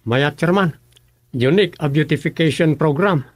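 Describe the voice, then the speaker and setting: A man's voice: a little high, normal speed, dry recording, clean studio sound, lightly acted. An actor playing a dreamy, dozy village councilman in a rural comedy radio drama. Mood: agreeable.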